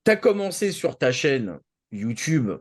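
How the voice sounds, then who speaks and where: A man chatting casually as a show panelist, halting and repeating himself as he searches for words. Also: mid-range voice, sing-song. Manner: halting, searching delivery with repetitions. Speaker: show regular, co-founding panelist. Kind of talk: casual live show chat.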